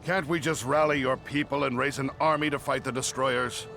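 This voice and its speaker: A gravelly dwarf, blunt and easily exasperated. He presses his point impatiently in a fantasy game cutscene, with music clearly behind him. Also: medium pitch, steady tone, normal speed, sharp tone. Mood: frustrated. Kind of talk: fantasy video game acting.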